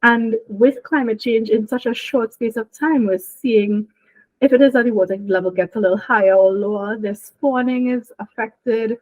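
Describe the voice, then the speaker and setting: A woman's voice: fairly high pitch, moderate pace, darkish tone, no echo. A young woman from Trinidad and Tobago, a marine biologist talking casually and laughing often as she explains things in an interview. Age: young adult. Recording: dry, phone-like line.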